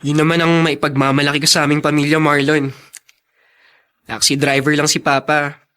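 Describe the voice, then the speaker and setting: A man's voice: on the high side, little pitch movement, moderate pace, bright, forceful tone. A man with a Filipino accent acting a kind, protective older brother in a radio drama. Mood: proud.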